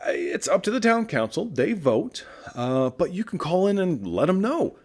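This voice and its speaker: A man's voice: high-pitched, big pitch swings, moderate pace, darkish tone. A man playing a chatty, stammering, friendly radio host in a post-apocalyptic audio drama. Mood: upbeat, chatty. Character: nervous, friendly male radio show host after the apocalypse.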